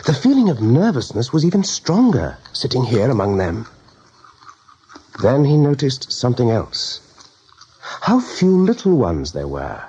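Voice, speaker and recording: A man's voice: mid-range voice, wildly swooping pitch, measured pace, mellow, a little hiss or hum. A man narrating a radio drama as a grave, dramatic storyteller building suspense. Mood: grave, uneasy. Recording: dull band-limited radio recording.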